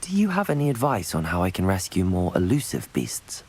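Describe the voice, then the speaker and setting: An actor playing a young student wizard in a video game, polite, keen and composed, with an inquisitive manner. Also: male voice, sits low, huge pitch swings, a little fast, a little mellow, slight background noise. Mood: polite, curious. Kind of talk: video game character dialogue.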